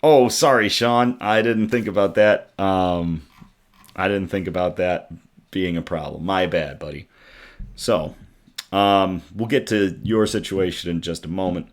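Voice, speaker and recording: A man with a raspy, worn-out voice casually hosting a good-news livestream from his home setup, chatting loosely with many ums and reading out viewer comments. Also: sits low, measured pace. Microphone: desktop USB microphone.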